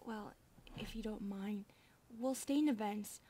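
A woman voicing a timid, dutiful centipede maid in an ASMR roleplay, polite, soft-spoken and hesitant, often slipping into breathy whispers. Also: mid-range voice, unhurried, a little hiss or hum, lightly acted. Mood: earnest, polite.

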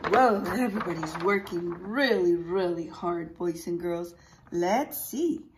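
A woman in her forties, a preschool teacher reading a picture book aloud, exclaiming and putting questions to young listeners in an animated, expressive way. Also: on the low side, a little slow, background noise. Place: small indoor room with posters.

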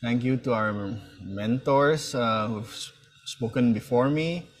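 A man speaks informally as an instructor introducing a class, haltingly, often repeating words. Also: medium pitch. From Malaysia. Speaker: sound design instructor and mentor. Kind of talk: informal introductory talk at an online open house. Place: indoor room, on a video call.

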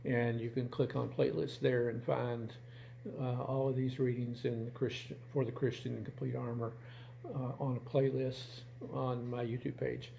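A man in his sixties chats casually to camera from a room at home. His voice is mellow and creaky, and he feels his way through sentences in a halting, plain-spoken manner. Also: mid-range voice, flat delivery, a little hiss or hum, rough recording. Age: 60s. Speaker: video channel host.

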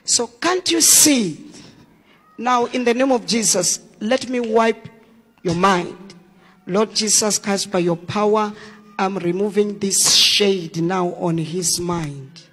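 High-voiced pastor, commanding prayer, reverb. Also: male voice, a bit bright, soft background music. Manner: slow, commanding prayer with drawn-out words. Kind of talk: deliverance prayer at a church service. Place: church hall with an audience. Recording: long hall reverb.

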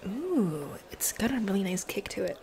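A young adult woman doing a casual ASMR role play, speaking softly and often slipping into a whisper with mouth sounds, close to a desktop mic. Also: mid-range voice, sing-song, normal speed. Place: bedroom, indoors. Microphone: close desktop condenser mic. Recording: close mic with noise, clicks.

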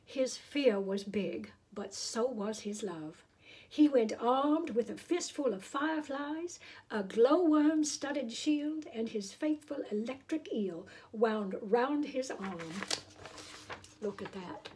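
Unhurried, breathy middle-aged woman storyteller. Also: on the high side, animated, a little mellow. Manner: deliberate, theatrical, playful asides. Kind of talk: expressive children's storybook read-aloud. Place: small room indoors.

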